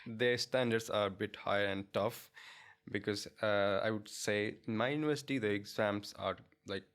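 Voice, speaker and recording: A man's voice: fairly low pitch, moderate pace, fairly bright tone, clear, resonant tone. A young man from India with an Indian accent, chatting in an interview in English, his second language, with many fillers and restarts. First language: not English. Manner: hesitant, many fillers and restarts, laughs easily. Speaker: guest, international student. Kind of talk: casual interview chat.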